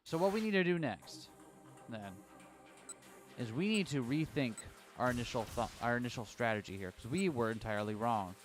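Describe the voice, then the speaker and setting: A man streaming a game from a hot room at home, reading the story aloud and chatting loosely, his voice turning a little raspy. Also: mid-range voice, animated, normal speed, faint music behind.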